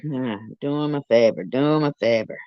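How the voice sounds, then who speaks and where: A woman's voice: very deep voice, moderate pace, smooth, no echo. A woman hosting a casual live stream, chatting and commenting on audio she plays, with slightly muffled sound.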